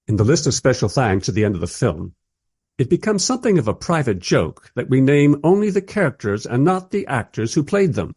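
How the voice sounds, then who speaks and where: A man's voice: mid-range voice, very animated, a little fast, darkish tone, slightly rough, dry recording, studio quality. An actor playing a wry modern filmmaker who narrates his own story in a scripted radio play. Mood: reflective.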